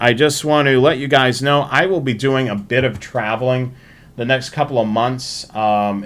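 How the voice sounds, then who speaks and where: A man hosting a livestream, talking informally through announcements in a halting way and repeating himself. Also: medium pitch, a bit bright. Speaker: livestream host. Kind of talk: casual livestream sign-off and announcements.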